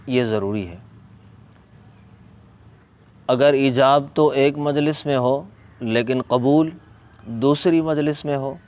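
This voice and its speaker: A man with a Pakistani accent explaining a religious ruling as an Islamic scholar, deliberate and emphatic, repeating key points. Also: a little mellow. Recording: clear background noise, ambient vehicle rumble.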